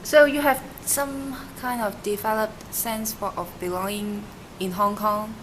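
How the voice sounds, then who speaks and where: A woman's voice: mid-range voice, fairly even intonation, unhurried, background noise. A young woman interviewing someone, asking questions hesitantly with fillers and restarts. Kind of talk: casual student interview.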